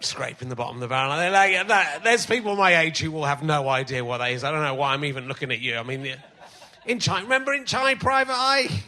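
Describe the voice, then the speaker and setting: A male comedian hosting a live podcast on a theatre stage, chatty and self-mocking, his voice slightly croaky from illness. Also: on the high side, animated, fast talker, pushed and bright. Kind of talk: live stand-up banter on stage.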